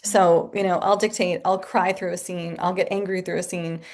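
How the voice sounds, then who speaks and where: A woman speaking as a guest author in a casual interview from her home office, chatty and animated and laughing often. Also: fairly low pitch, normal speed.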